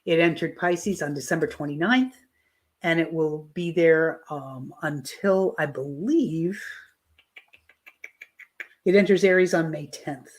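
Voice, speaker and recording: A woman's voice: deep voice, slow, long pauses. Woman astrologer losing her voice. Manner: explanatory, with frequent restarts and fillers. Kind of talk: live online astrology talk. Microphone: video call.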